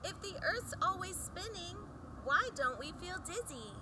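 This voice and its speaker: Female children's storybook reader, high-pitched, unhurried, emphatic rhyming delivery with deliberate pauses. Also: big pitch swings, background noise. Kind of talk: expressive read-aloud of a rhyming picture book for children.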